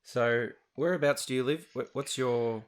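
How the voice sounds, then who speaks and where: A man's voice: medium pitch, measured pace. A young man hosting a podcast, chatting casually in a self-deprecating way and laughing often. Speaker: podcast host. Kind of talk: casual interview chat.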